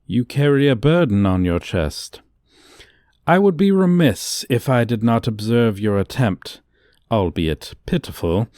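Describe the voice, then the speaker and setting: Guy doing snooty dragon teacher for asmr roleplay, super slow n dramatic, pompous old timey speech, dry close mic. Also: medium pitch, a little mellow, no echo. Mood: condescending, amused. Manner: pompous, archaic, formal diction. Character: haughty dragon professor, condescending, lecturing a human student.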